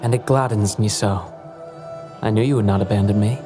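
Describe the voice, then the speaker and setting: A man's voice: fairly low pitch, fairly even intonation, a little fast, lightly acted. A man playing a courteous, eloquent young wanderer in a video game, serene and grateful, with formal, ornate phrasing. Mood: pleased, grateful. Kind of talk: scripted video game acting. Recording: game music behind the voice.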